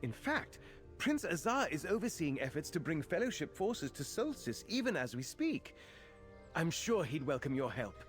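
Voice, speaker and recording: Male quest-giver in a fantasy game: earnest islander lookout, higher-pitched, very animated, formal exposition, music underneath. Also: moderate pace. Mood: earnest briefing. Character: earnest male fantasy islander watchman rallying help against a cult. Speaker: quest-giving non-player character in a fantasy game. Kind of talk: scripted game dialogue.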